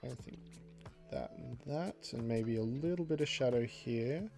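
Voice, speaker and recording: A man casually chatting on a livestream while he draws, reading out chat messages, laughing often and jumping up in pitch for jokes. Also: unhurried, vocal fry, music in the background.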